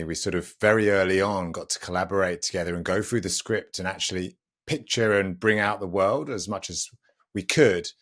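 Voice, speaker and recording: A man on a production panel, explaining things in unscripted talk. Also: sits low.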